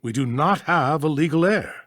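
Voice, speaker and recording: A stern, self-important judge from a mystery game. The delivery is pompous and formal with wide swings of pitch, recorded very dry. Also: expressive, normal speed, a bit bright, no room sound. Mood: solemn, grudging. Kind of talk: video game voice acting.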